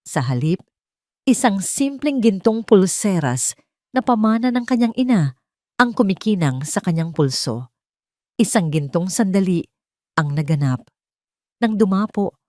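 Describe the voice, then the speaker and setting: A woman's voice: sits low, a little mellow, dry recording, clean studio sound. A woman reading a scripted dramatic story as its narrator.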